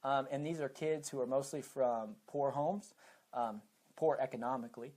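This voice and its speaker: Male, American, educator as interview guest, mid-pitched voice, methodical explainer. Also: normal speed, a little mellow. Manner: explains step by step with examples. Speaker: high school teacher and program coordinator, interview guest.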